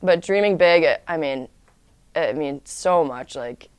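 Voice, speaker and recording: A woman's voice: deep voice, a little slow, clear, resonant tone, dry recording. A woman college lacrosse player answering interview questions in an earnest, casual way.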